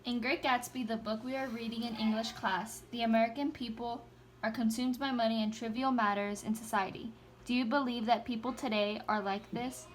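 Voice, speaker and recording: A woman's voice: a little high, steady tone, brisk, slight background noise. A teenage girl carefully reading interview questions aloud. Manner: careful, read-out delivery. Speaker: student interviewer.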